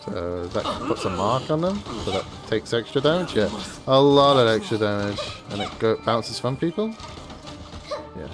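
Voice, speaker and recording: A woman's voice: rumbling low, animated, normal speed. A woman giving casual, rambling livestream commentary on a game in an informal way. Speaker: game streamer. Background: faint game music.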